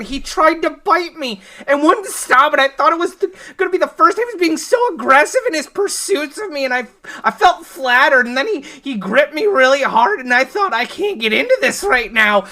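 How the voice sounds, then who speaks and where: A woman's voice: mid-range voice, fairly even intonation, very slow, long pauses, bright, forceful tone. A woman playing a flustered, indignant young city woman in a sitcom parody.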